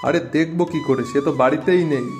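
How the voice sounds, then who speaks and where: Man playing a homely village farmer, higher-voiced. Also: fast, a little mellow, faint music behind, natural voice. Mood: glum, matter-of-fact. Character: weary married village farmer, good-natured but easily exasperated. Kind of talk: animated moral story dialogue.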